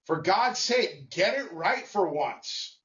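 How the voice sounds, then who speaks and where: A man delivers his opinions in clipped, emphatic phrases, pausing often between them. His voice sits a touch high for a man. The recording is distant and slightly muffled. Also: expressive, fairly bright tone. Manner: emphatic, blunt and colloquial, with pauses between short phrases. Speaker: vlogger. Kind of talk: personal opinion monologue to camera.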